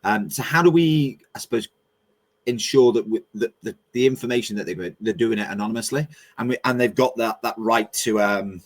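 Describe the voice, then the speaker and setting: A man's voice: lowish voice, a little fast. A man hosting a webinar panel on a video call, asking questions with a lot of hesitant restarts and fillers. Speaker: webinar host. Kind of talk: moderating a panel discussion.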